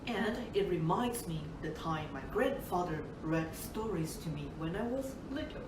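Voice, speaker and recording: A woman from Taiwan, a bassoonist, gently introducing her instrument to schoolchildren. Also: expressive, measured pace. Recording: roomy, distant, noisy.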